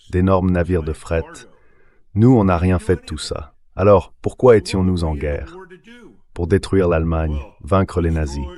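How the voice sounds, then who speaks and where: Low-voiced synthetic male commentator. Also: darkish tone. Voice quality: AI-generated voice reading a translation. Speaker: political commentator interviewed as a guest. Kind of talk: translated voice-over of interview commentary.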